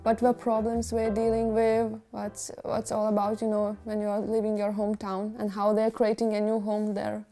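A woman's voice: medium pitch, completely flat delivery, normal speed, hardly any pauses, mellow, soft background music. A young woman from Lithuania talking hesitantly about her film and her home, searching for the words. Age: young adult. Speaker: filmmaker. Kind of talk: casual interview. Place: small decorated bedroom.

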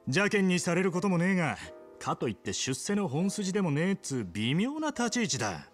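A brash young male yakuza with big ambitions in a video game drama. His voice sits a little high and moves in lively swings. He talks rough and blunt, pushing and needling, with music playing behind him. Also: brisk. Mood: pushy, impassioned. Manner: rough, blunt, goading speech. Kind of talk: video game cutscene acting.

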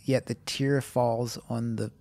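A man's voice: medium pitch, level tone, takes it slowly, a little mellow, no echo. A man co-hosting a podcast in casual chat, rambling with many "like"s and restarts. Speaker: podcast co-host.

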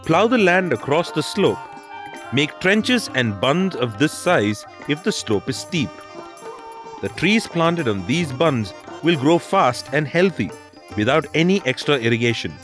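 A man's voice: a little high, very animated, measured pace. A man reading scripted instructional narration for a documentary. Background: background music.

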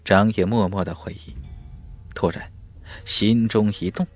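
A man's voice: fairly low pitch, unhurried, faint music behind. A man narrating a fantasy audiobook in a dramatic, scripted storytelling style.

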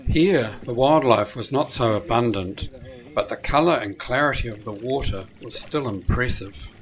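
A man narrating a sailing trip in a calm, measured, matter-of-fact voice-over. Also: mid-range voice, dull audio. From New Zealand. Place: recorded separately from the pictured scenes. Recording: voice-over with loud background music.

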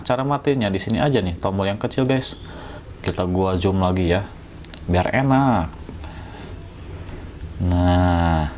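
A man's voice: lowish voice, a little mellow, slight background noise. A man with an Indonesian accent from West Java, giving a casual, slangy, jokey hands-on tutorial as a motovlogger. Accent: Sundanese-region Indonesian. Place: indoors at home.

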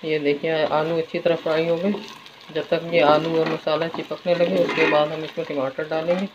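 A woman's voice: sits low, little pitch movement, moderate pace, noisy background. A woman casually narrating a recipe as a home cook while she works at the stove. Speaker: home cooking vlogger. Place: home kitchen, indoors. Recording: dull phone audio, loud frying sizzle. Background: frying, sizzling and stirring.

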